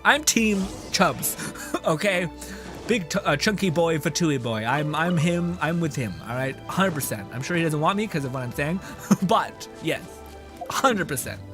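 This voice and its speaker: A man streaming a game, reacting in a loud, laughing, profane way. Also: on the high side, lively intonation, normal speed, music in the background. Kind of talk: casual gameplay commentary.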